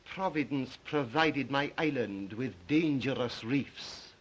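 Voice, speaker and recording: An actor playing a suave, psychotic aristocratic hunter of men, courteous and menacing in an old 1930s melodrama style. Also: male voice, medium pitch, slow pace, highs cut off. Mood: gloating. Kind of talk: 1930s melodrama film acting.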